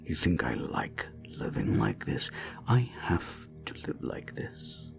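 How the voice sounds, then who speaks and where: A man playing a cruel, menacing slasher killer in a horror audio roleplay, taunting a captive in a gravelly, breathy half-whisper. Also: extremely low pitch, huge pitch swings, slow, full character voice. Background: music.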